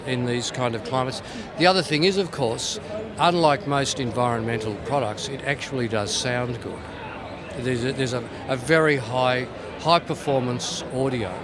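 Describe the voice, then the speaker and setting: A man giving an informal, playful product demonstration as a company representative at a trade show. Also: mid-range voice. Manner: tongue-in-cheek, playful.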